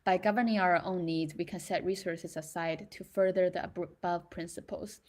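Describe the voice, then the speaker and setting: A young woman from China speaks in a thoughtful, casual way. She often pauses with fillers and restarts her sentences as she works out her ideas. Her voice sits on the low side. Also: fairly even intonation, darkish tone. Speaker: creator in residency. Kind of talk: reflective casual talk.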